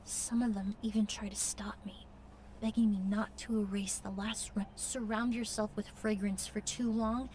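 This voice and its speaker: A woman voicing a composed, gentle and thoughtful mentor figure in a video game. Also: mid-range voice, flat delivery, normal speed, slight background noise, natural voice. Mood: gentle, reflective.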